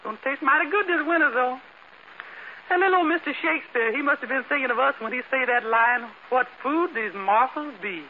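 A man's voice: very high-pitched, brisk. A man playing a cheerful, sassy female housemaid with a folksy manner in a 1940s radio comedy. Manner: folksy, exclamatory, wisecracking. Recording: noisy, muffled 1940s radio recording.

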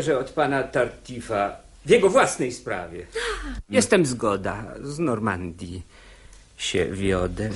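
An actor playing an unctuous, pedantic old court bailiff in a radio play, speaking verse with oily, officious politeness. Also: male voice, medium pitch, breathy edge, exaggerated voice. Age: older. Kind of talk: theatrical radio drama acting in verse.

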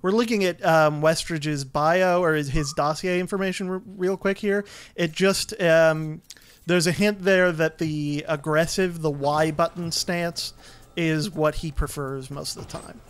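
A man giving casual let's play commentary, explaining the game in a halting way. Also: a little high, fairly even intonation, clear, resonant tone, no echo. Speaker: gamer, let's play host.

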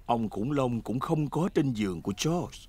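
A man narrating a children's cartoon from a script in an expressive storytelling manner. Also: mid-range voice, moderate pace, breathy edge. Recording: music behind the voice.

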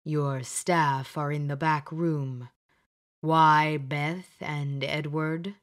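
A stern male spirit speaking out of a mirror, read by a woman narrator. He talks very slowly and gravely, in a lowered voice. Each line is measured and weighty. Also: steady tone.

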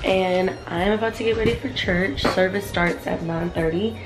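A woman in her twenties talking chattily to her viewers, her voice a little hoarse from a cold, filming herself with a handheld camera. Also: lowish voice, normal speed, loud music behind the voice, rough recording. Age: 20s. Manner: chatty, rambling, talks straight to the viewers. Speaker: vlogger. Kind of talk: casual vlog chat. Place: small bedroom at home. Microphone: handheld camera, close.

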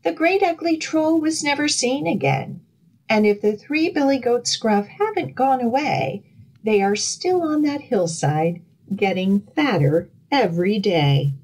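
Expressive, slow-paced woman, storytime leader. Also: fairly high pitch, low-quality recording. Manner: expressive, deliberate, simple phrasing for young children. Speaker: children's library storyteller. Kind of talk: children's storytime reading and instruction.

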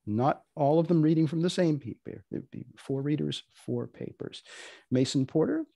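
A man hosting a panel in a deliberate, deadpan manner. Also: fairly high pitch, creaky, no room sound, clean studio sound. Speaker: host. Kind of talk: dry, probing moderating of a panel. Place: indoor room, video call.

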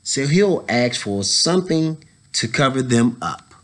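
A man in his thirties reading a children's picture book aloud, pausing to add casual explanatory asides for young listeners. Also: mid-range voice, lively intonation, fairly bright tone. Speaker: story time reader, educator. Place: indoors, school library.

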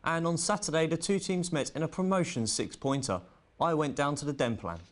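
A man's voice: a little high, brisk. A man presenting sports news, reading results and links from a script in a student news bulletin.

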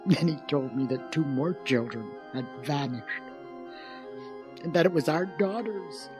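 An actor playing a shaken, grieving parent giving testimony in an audio drama. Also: male voice, on the high side, measured pace, music in the background, natural voice. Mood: shaken, grave. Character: shaken, grieving parent recounting a family tragedy.

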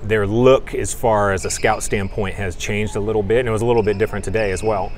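A man in his forties, a coach giving a measured postgame interview on a lapel mic, pausing with fillers. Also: lowish voice, fairly even intonation, slight background noise. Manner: measured, thoughtful, many fillers. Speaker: volleyball coach. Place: indoor gym backdrop.

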